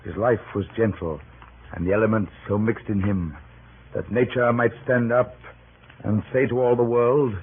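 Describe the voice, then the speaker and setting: Roman commander, male actor: stately, solemn orator, fairly low voice, vintage muffled radio drama. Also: steady tone, normal speed, lightly acted. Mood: solemn tribute. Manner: stately, oratorical verse delivery. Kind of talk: 1930s radio Shakespeare acting. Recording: muffled, scratchy 1930s radio broadcast.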